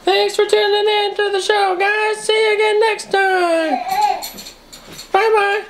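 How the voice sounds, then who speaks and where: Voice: very high voice, flat delivery, measured pace, noisy background. A performer voicing a friendly little puppet creature for toddlers, babyish and cheerful in a sing-song manner, with music playing. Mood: bright, friendly. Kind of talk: improvised puppet show for toddlers.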